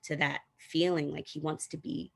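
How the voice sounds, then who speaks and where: A woman's voice: deep voice, level tone, moderate pace, creaky. A woman talking casually as a preschool teacher on a video call, with plenty of "you know" and "like.". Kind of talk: casual conversational anecdote.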